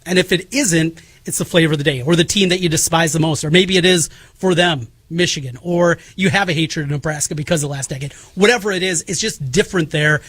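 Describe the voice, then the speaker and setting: A middle-aged man with a notably high voice and a Midwestern American accent. He hosts sports radio, explaining things in an emphatic, casual style. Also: fast, fairly bright tone. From Iowa, United States.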